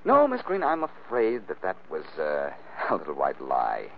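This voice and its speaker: An actor playing a cool, sardonic amateur detective in the style of a 1940s radio drama. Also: male voice, extremely low pitch, huge pitch swings, normal speed, vocal fry, exaggerated voice. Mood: sly. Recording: muffled, faintly noisy old radio recording.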